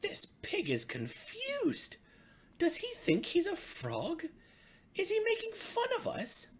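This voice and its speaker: A woman's voice: very high voice, wildly swooping pitch, slow pace, voice pushed up, exaggerated voice. A woman voicing indignant, bossy pond frogs from a children's storybook in an exaggerated, exclaiming way. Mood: indignant, baffled.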